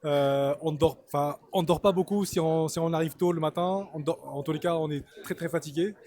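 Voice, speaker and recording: A man's voice: a little high, dark tone. A man, a fruit importer, answering interview questions at a trade fair, hesitant and full of fillers. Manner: hesitant, many fillers, measured. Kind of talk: casual spoken interview. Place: busy trade fair hall.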